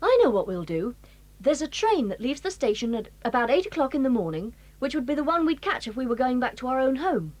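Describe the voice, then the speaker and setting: A woman's voice: high voice, big pitch swings, a little fast, a little mellow, no room sound. A woman voicing a take-charge schoolboy leader. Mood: planning.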